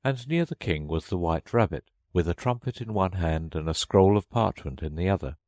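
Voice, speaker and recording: A man's voice: sits low, lively intonation, normal speed, darkish tone, no room sound. A man reading a children's story aloud as scripted audiobook narration.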